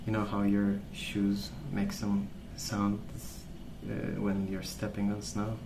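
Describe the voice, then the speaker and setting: A man speaking softly as an ASMR host, rambling through stories in a hesitant, pausing, unhurried way. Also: on the low side, monotone, background noise. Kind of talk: soft-spoken ASMR rambling.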